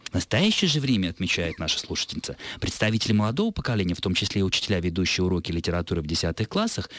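A man answering listeners' letters about word usage in a radio studio, explaining in a measured way and pausing to think. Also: on the low side, no room sound. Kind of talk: radio talk on Russian usage.

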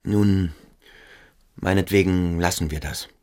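Low, intense questioning schoolboy. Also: male voice, steady tone, slow, darkish tone, faint music behind. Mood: intense, urgent. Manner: insistent, searching questioning. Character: intense, probing, insistent teenage schoolboy interrogator. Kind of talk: German radio play acting.

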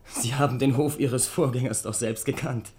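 A man's voice: medium pitch, steady tone, normal speed, darkish tone, slight background noise, natural voice. Earnest, deferential young duke man acting. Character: handsome thirty-year-old duke, devoted courtier in love. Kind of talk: German radio drama acting.

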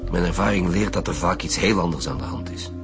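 An actor playing a dry, sceptical, easily irritated senior police detective with a Flemish accent and a curt, measured delivery in a radio drama. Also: male voice, sits low, music in the background. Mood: businesslike. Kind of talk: naturalistic radio drama acting.

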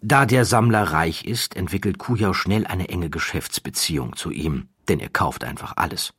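Low husky guy narrating a true story, measured n a bit wry, dry close recording. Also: expressive, a bit bright, no room sound. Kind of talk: scripted documentary storytelling narration.

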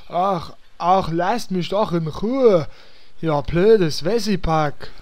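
Whiny Berlin paladin, slow and high. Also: male voice, darkish tone, no room sound. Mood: whiny, dejected. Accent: Berlin German. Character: hapless whiny male paladin, stubborn, self-pitying. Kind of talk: comedy fantasy audio drama acting.

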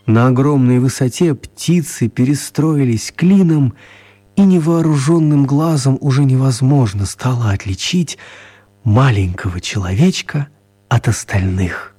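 An actor playing an author who narrates his children's story in a radio play, mellow and husky. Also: medium pitch, measured pace. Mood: storytelling.

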